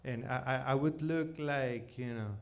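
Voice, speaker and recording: A forty-year-old man with a Venezuelan accent and native Spanish tells stand-up stories conversationally, haltingly repeating his words. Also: mid-range voice, vocal fry. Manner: halting, repeats words, punctuated with "you know" and "like". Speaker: stand-up comedian. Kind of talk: stand-up comedy, conversational storytelling. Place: comedy club stage, live performance. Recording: noisy live club recording.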